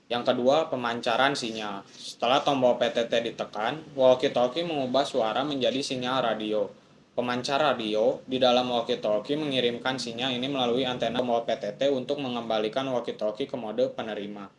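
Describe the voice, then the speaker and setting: A young man, a student presenter, reading out a class presentation at an even, steady pace. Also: mid-range voice, brisk. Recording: faint background noise.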